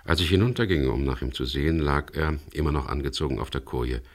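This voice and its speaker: An actor playing a dry, businesslike skipper in a radio crime drama, with a gravelly voice. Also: male voice, extremely low pitch, quick pace. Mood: sober, reflective. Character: wary, businesslike skipper of a chartered sailboat, also narrating. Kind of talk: 1970s German radio crime drama, narration and dialogue.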